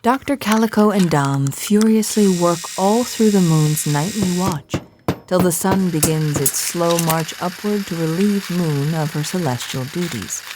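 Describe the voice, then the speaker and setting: A woman narrates an audio drama from a script. Her voice sits a little low, and she tells it like a mock-grand storybook. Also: a little slow, background noise.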